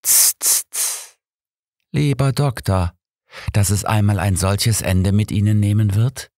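A man's voice: fairly low pitch, slow pace, a little mellow, dry recording, studio quality, voice pushed up. Man playing a taunting, vengeful killer, raised voice. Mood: mocking, menacing. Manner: scornful, taunting. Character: sadistic, vengeful male killer, coldly mocking.

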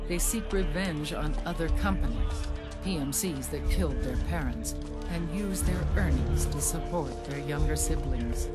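An older woman playing a commanding, world-weary resistance leader in a video game cutscene, with a deliberate, authoritative delivery. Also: low voice. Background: loud music.

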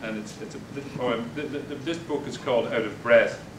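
Older man reading poems, halting. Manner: hesitant and halting between poems, with many fillers and restarts. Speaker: poet. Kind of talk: poetry reading with spoken asides. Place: bookstore, indoors, at a podium. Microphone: distant camera mic. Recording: echoey room, distant mic, background rumble.

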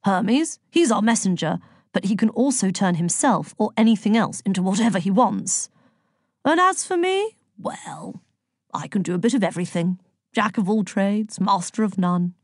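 Woman acting storybook Greek god, male, amiable, old-fashioned British gent, jovial, gentle, dry sound. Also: medium pitch, animated, moderate pace, breathy edge, no echo. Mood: amiable, chatty. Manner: genial, old-fashioned British turns of phrase. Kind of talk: audiobook character dialogue.

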